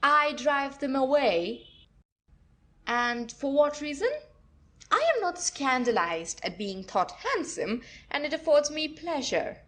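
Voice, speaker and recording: A woman's voice: high voice, very animated, slow, a bit bright, heavily acted. A woman playing a flippant, defiant young wife in a classic comedy audio play, haughty, theatrical and mock-innocent.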